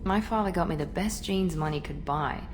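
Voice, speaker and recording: A woman's voice: lowish voice, moderate pace, music in the background, lightly acted. A woman playing a proud, cool elite operative in a video game, frank and composed. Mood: self-critical. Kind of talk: video game character acting.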